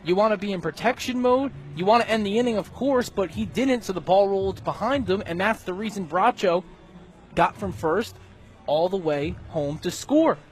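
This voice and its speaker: A man's voice: high-pitched, animated, moderate pace. A man working as a radio color commentator, explaining baseball plays in a conversational way. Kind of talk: baseball analysis between plays.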